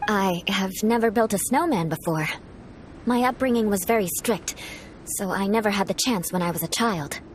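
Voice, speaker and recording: A woman's voice: medium pitch, normal speed, slight background noise, lightly acted, rough recording. A woman voicing a proud, poised noblewoman knight in a video game, with a composed, refined manner. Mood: hesitant, confiding. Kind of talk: English game voice acting.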